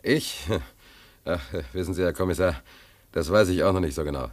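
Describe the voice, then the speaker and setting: A man's voice: deep voice, moderate pace, no echo, natural voice. An actor playing a naive, stubborn stranded seaman in a German radio play, rambling and repeating himself as he protests plaintively. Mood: bewildered, pleading. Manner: rambling, stammering, repeats words.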